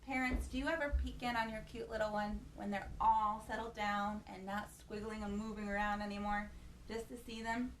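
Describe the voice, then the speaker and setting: A woman hosting storytime for young children, reading a picture book aloud in an expressive, gasping manner and putting questions to her little listeners. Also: mid-range voice, normal speed. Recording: echoey room with faint background noise.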